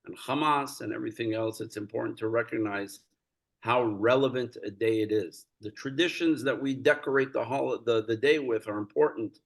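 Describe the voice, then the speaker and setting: A man with a gravelly edge to his voice speaks in an earnest, lecturing way, his pitch sitting in an ordinary middle range. He slips easily into animated storytelling as he goes. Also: normal speed. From United States. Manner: earnest, storytelling, gets animated and laughs while recounting. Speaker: rabbi, guest speaker. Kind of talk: lecture-style talk.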